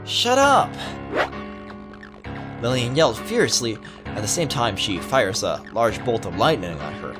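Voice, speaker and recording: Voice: medium pitch, lively intonation, moderate pace, music in the background. A let's player reading a visual novel aloud dramatically with casual asides, stumbling over words and correcting themselves.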